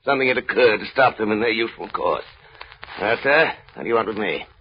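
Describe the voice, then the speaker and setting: A man's voice: on the low side, quick pace, close to an everyday voice. An actor playing a cold, miserly, grumbling old businessman with a curt, scornful bark, in a 1930s radio drama. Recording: noisy, muffled 1930s radio recording.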